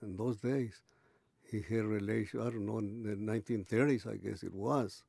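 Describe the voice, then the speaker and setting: A man in his eighties recalling his early life in an oral history interview, halting and restarting as he searches for words. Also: fairly low pitch, fairly even intonation, vocal fry, warm tone, husky, no room sound, studio quality. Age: 80s. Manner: halting, many restarts and pauses.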